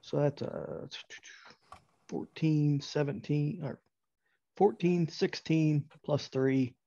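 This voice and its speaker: Mellow higher-voiced man, dull sound. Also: steady tone, slow pace, vocal fry, no echo. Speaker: player in a tabletop game. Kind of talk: casual chat, calling out dice rolls. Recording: dull, dry, lo-fi microphone sound.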